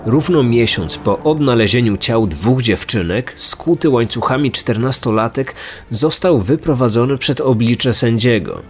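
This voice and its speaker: A man's voice: mid-range voice, lively intonation, measured pace. A man reading a scripted true-crime narration as a podcast narrator. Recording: music under the voice. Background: background music.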